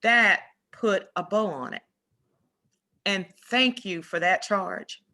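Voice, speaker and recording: A woman's voice: medium pitch, slow, sharp tone, a little hiss or hum. A woman moderating a forum, graciously thanking the speakers and handing over to the next speaker. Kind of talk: closing remarks.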